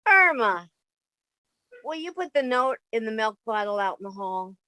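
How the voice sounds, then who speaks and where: Radio comedy straight woman , read by an actress: practical, long-suffering young roommate with a fairly high voice. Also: sing-song, normal speed, pushed and bright, slight background noise. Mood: weary, exasperated. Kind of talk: 1950s radio sitcom reading.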